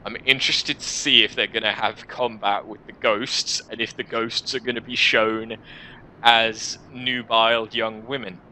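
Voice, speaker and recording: A man hosting a gaming video, reading a visual novel aloud in an expressive, theatrical way with casual asides. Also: on the low side, measured pace, a little hiss or hum, poor audio.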